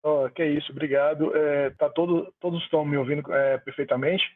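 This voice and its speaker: A man's voice: fairly high pitch, flat delivery, brisk, no echo, narrow, tinny audio. A man from Salvador, a music producer talking in an online panel, heartfelt and rambling with many fillers. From Salvador, Bahia, Brazil. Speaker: music producer, debate guest.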